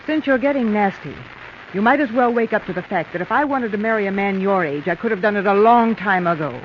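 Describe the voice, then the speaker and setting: A woman's voice: mid-range voice, lively intonation, a little fast, close to an everyday voice. An actress playing a tough, calculating young woman with a dry, hard-edged manner in a 1940s radio drama. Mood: cold, firm. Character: cool, calculating, tough young female schemer. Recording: hissy, muffled vintage radio recording.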